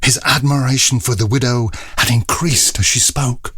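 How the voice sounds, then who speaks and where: A man's voice: medium pitch, steady tone, moderate pace, fairly bright tone, husky, no room sound. A man narrating a classic period story in a scripted, dramatic style.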